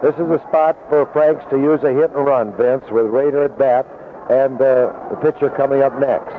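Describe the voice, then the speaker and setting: A man's voice: medium pitch, fairly even intonation, moderate pace. Male baseball commentator on muffled old radio. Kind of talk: conversational game analysis. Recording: muffled vintage radio broadcast, faint noise.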